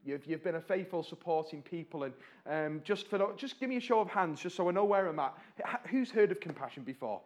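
A man speaks to a church congregation on behalf of a charity, friendly and chatty. He has a fairly high voice for a man, stumbles over words and restarts now and then, and keeps asking the room questions. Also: expressive. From North West England. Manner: warm-hearted, chatty, asks the audience questions; fillers and restarts, pauses while slides change.

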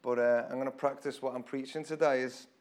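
A man with a hoarse, croaky voice preaching an informal church sermon to a congregation, talking in a chatty way and often repeating his words. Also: mid-range voice, fairly even intonation, a little fast, a little mellow. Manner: conversational, self-deprecating, repeats phrases.

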